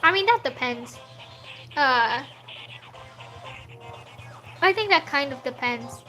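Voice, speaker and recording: A high, bright woman's voice talks at an unhurried pace, chatting and laughing as she draws on a livestream. English is not her first language, and faint music plays behind her. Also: animated, poor audio. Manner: chatty, answers chat questions, laughs often. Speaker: streaming artist. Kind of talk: casual livestream chat while drawing.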